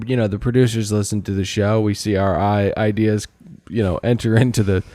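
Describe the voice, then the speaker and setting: A man's voice: on the low side, fairly even intonation, brisk, dark tone, dry recording. A man hosting a casual comedic TV recap podcast, wry and jokey with sardonic asides. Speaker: podcast host.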